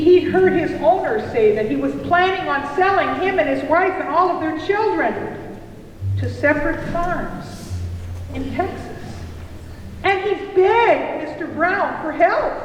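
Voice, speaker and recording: Heard from far off in a very reverberant hall, this is a high-voiced cafe proprietor from a historical puppet show, acted out by a performer. The delivery is slow, emphatic and theatrical, like a storyteller laying out grave news. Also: lively intonation. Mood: earnest storytelling. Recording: very echoey hall, distant mic, faint noise.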